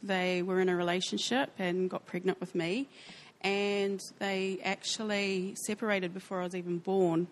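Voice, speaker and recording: A woman with a New Zealand accent sharing her life story in a church interview, speaking softly and hesitantly with frequent pauses. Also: medium pitch, level tone, a little hiss or hum, poor audio. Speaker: church member sharing testimony. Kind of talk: personal testimony interview.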